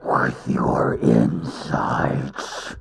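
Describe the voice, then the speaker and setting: A man's voice: extremely low pitch, pitched below the performer's own voice, full character voice. A man voicing a creepy, menacing killer clown with mock-sweet, taunting pleading in a horror story. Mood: taunting, gleeful menace. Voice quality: creaky, sinister. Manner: slow, drawn-out, taunting pleading. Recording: music bed behind the voice.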